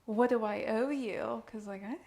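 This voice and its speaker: A young woman in her twenties answers questions about modeling, her slightly high voice dropping into a gravelly fry. She speaks casually and laughs easily, peppering her talk with "like" and "um.". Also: fairly even intonation, moderate pace, a little mellow. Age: 20s. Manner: giggly, uses "like" and "um" a lot. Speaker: model and interview guest. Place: indoors at a rented home.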